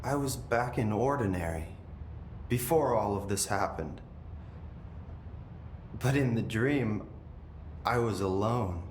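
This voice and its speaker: An actor playing a possessed young man in a video game, eerily calm, bitter and menacing, speaking in a deliberate, detached way. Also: fairly low pitch, echoey room, a little hiss or hum. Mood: dreamy, detached. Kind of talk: game cutscene acting.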